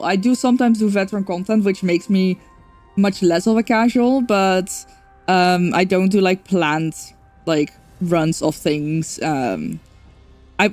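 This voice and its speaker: A woman's voice: on the low side, a little mellow. Chatty Dutch woman streaming a game. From Netherlands. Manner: rambling and chatty, full of fillers. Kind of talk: casual gameplay stream chat.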